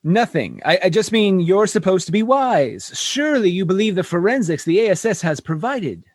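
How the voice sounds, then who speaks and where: A man's voice: high-pitched, very animated, normal speed. A man playing an exasperated, tactless human starship captain in a sci-fi radio comedy, blustering and pleading as he talks.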